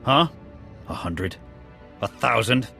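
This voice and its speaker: An actor playing a gruff, proud military officer in a video game, terse and clipped in delivery. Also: male voice, medium pitch, expressive, slow, pushed and bright, exaggerated voice. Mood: angry, accusing. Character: gruff, proud male military sniper officer, loyal but hot-tempered. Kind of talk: video game dialogue acting. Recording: music behind the voice.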